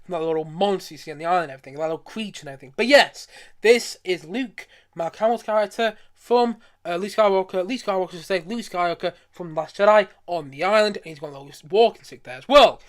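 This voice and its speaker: Fast, high-voiced enthusiastic young-sounding male streamer. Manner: enthusiastic, rambling, repetitive. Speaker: streamer showing a collection. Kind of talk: casual live stream commentary.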